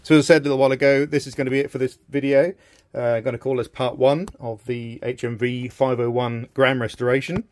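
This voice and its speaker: A man's voice: mid-range voice, steady tone, quick pace, darkish tone. A middle-aged man giving informal, hesitant workshop commentary as he presents a repair. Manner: informal, hesitant, thinking aloud. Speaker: radio restorer presenting a repair video. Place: small indoor workshop at a bench.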